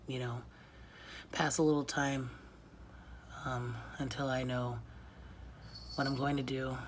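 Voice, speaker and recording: A woman in her thirties vlogging early in the morning, congested and losing her voice, talking in a halting, reflective way with a whispery, breathy tone. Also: extremely low pitch, a little hiss or hum. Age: 30s. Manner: halting, reflective, many pauses and fillers. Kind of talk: casual confessional vlog.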